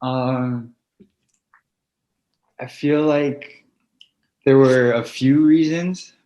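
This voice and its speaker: Slow, flat-voiced young man on a dull call. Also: medium pitch. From Hawaii. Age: young adult. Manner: soft-spoken, many fillers, slow and even. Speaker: football player guest. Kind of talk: casual online interview. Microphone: video call. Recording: dull lo-fi video call audio.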